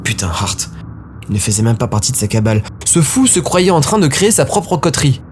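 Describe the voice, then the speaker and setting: A man's voice: medium pitch, sing-song, fairly quick, a little hiss or hum. A man narrating a scripted story dramatically, in short, brooding, suspenseful phrases. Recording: music behind the voice.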